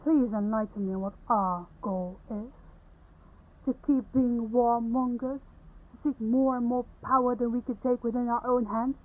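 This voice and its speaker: Female actor as idealistic, defiant royal daughter for fantasy drama, fairly high voice, band-limited recording. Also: steady tone, a little slow. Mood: scornful, indignant. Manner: articulate, accusing. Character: defiant young princess, idealistic, bitterly scornful of war. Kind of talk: fantasy audio drama acting. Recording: thin, phone-like muffled sound.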